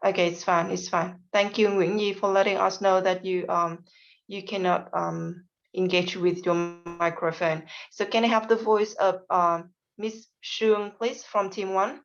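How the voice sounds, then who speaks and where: A woman teacher speaks slowly and patiently on a video call, pausing often with polite fillers. The sound is dull and lo-fi. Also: medium pitch, little pitch movement, vocal fry. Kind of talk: online class, calling on students.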